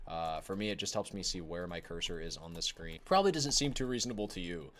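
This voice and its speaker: Young guy with a low voice rambling to his viewers, lots of uhs and likes, kinda whiny. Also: lively intonation, fast, fairly bright tone. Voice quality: self-described whiny voice. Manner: rambling, apologetic, many fillers and restarts. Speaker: gaming video creator. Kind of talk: casual talk to camera while playing.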